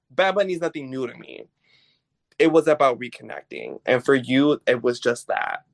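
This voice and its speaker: A podcast guest in casual conversation who stresses key words slowly and chimes in with supportive remarks. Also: mid-range voice, lively intonation, gravelly, dry recording.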